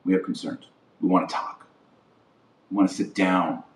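A man's voice: sits low, steady tone, normal speed. A man hosting a religious podcast, delivering an impassioned opinion monologue in a blunt, emphatic, repetitive way. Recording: roomy echo, distant from mic.

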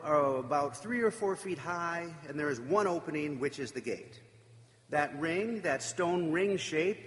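Brief: male preacher, raised pitch, very lively intonation, echoey church reverb. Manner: reflective, emphatic with dramatic pauses. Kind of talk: sermon.

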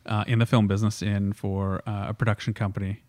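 A middle-aged man from Switzerland whose first language is Swiss German, speaking as an interview guest in halting, filler-heavy casual talk. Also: fairly low pitch, flat delivery, darkish tone, no room sound. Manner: halting, many fillers and restarts. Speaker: guest, wine school founder.

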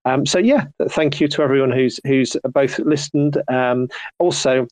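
A man's voice: medium pitch, moderate pace, darkish tone, dry recording. A man hosting an online audio chat, soothing and polite, with many fillers and restarts. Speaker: host. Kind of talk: casual chat.

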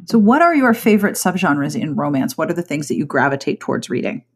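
A woman's voice: low voice, sing-song, fast talker, slightly rough. A woman hosting a podcast, asking her guests questions in a friendly, curious way. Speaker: podcast host. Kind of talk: casual interview.

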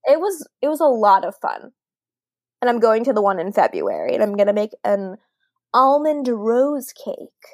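A woman in her late twenties talks through a relaxed podcast conversation, her voice sitting a bit high. She chats casually, laughs often and leans on "like" as a filler. The sound is close and dry, with no room echo. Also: normal speed. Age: late 20s. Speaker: podcast co-host.

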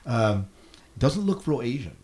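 A man's voice: mid-range voice, big pitch swings, measured pace, a little mellow. A man hosting a casual podcast discussion, reasoning aloud in a hesitant way with repeated phrases. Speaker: podcast host.

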